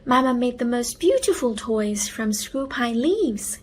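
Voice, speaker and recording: Woman acting as a young girl, storybook big sister, raised pitch, earnest, expressive, unhurried. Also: breathy edge. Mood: proud.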